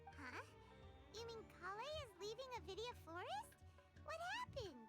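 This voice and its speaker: A woman voicing a tiny chirpy childlike fairy companion in an anime game, with squeaky, exaggerated delivery. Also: huge pitch swings, normal speed, over loud music, heavily acted. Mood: concerned. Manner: chirpy, exaggerated, refers to herself in third person.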